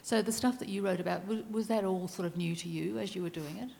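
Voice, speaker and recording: Quick, gravelly-voiced woman moderator. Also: mid-range voice, steady tone, dark tone. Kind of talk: panel moderation. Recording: long hall reverb, faint background noise.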